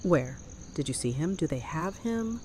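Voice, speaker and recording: A woman's voice: low-pitched, expressive, a little slow, dark tone, slight background noise. A woman playing a hard, stubborn, protective survivor leader in an audio drama, speaking curtly. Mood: urgent. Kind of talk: fan audio drama acting.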